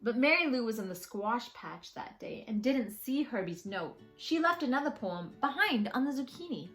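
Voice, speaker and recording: A woman host reading a children's picture book aloud in an animated, expressive storytelling way with drawn-out phrasing. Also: fairly high pitch, soft background music.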